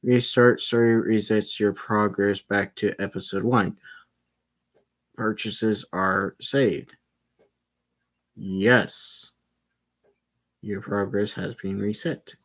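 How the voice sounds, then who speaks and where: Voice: sits low, steady tone, takes it slowly, long pauses. A game streamer giving casual, self-directed commentary while playing, muttering and often swearing. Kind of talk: casual livestream gameplay commentary.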